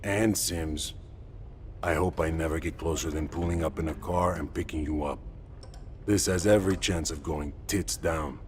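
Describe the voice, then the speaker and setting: An actor playing an easygoing, joking, loyal field operative in a video game, talking in casual, wry banter. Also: male voice, a bass voice, fairly even intonation, moderate pace, a little hiss or hum. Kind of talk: cinematic game dialogue acting.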